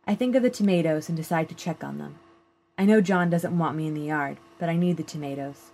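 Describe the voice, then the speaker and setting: A woman reading a first-person literary short story aloud in a measured, plain storytelling manner. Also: deep voice, a little mellow, faint music behind. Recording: clear background noise.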